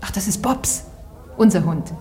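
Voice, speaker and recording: A young actress playing a brisk, cool-headed innkeeper's daughter in a German radio drama, giving curt, practical instructions. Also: female voice, medium pitch, lively intonation, dark tone, close to an everyday voice. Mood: secretive, practical. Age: young adult.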